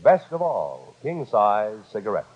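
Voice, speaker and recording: An American man reading a scripted 1950s radio advertisement as an announcer, with a husky voice. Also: fairly low pitch, very animated, measured pace. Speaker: commercial announcer. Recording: dull, reverberant vintage radio recording.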